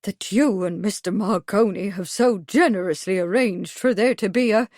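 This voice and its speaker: A bustling lady with a shrill, strident voice who brushes others aside briskly, acted by a woman narrator. She sounds fussy and sure of herself. The sound is dry and close. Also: a little mellow, dry recording, close to an everyday voice. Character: bustling, shrill middle-aged committee lady.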